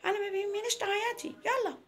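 An emphatic storybook dad with a quick, high delivery, played by a woman. He is soft-hearted yet blunt. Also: fairly even intonation. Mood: firm. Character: indulgent, baffled father turning firm.

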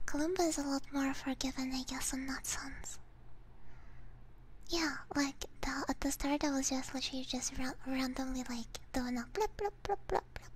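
A woman ASMR streamer speaks in a soft, breathy whisper, close to a binaural mic. Her delivery is unhurried and casual, rambling gently to her viewers. A faint layer of noise sits in the recording. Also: sits high. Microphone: binaural ASMR microphone up close.

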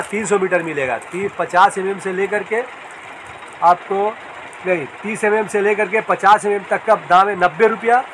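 A man's voice: high-pitched, fairly bright tone, a little hiss or hum, poor audio. A man who runs a fishing tackle shop casually shows his products, repeating sizes and strengths plainly, filmed on a phone in the shop. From India.

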